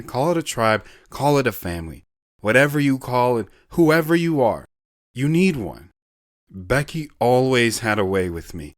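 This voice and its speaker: A man's voice: medium pitch, no room sound, studio quality, lightly acted. A man playing an earnest war veteran who recounts his life story in a reflective, sentimental way in an audio drama.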